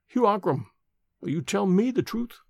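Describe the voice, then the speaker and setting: A frail, ancient nursemaid, put on by a male storyteller, speaks in a thin, cracked, quavering voice. Her words come slowly and severely, rising and falling widely in pitch. The sound is close and dry. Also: expressive, gravelly, dry recording, exaggerated voice. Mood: grave, pleading. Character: ancient frail old nursemaid woman, stern and sharp.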